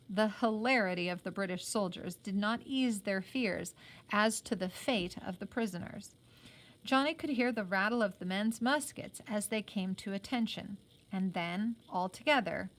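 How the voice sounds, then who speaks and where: Woman reading a novel aloud, teacher style. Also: mid-range voice. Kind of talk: read-aloud of a children's novel with plain explanations.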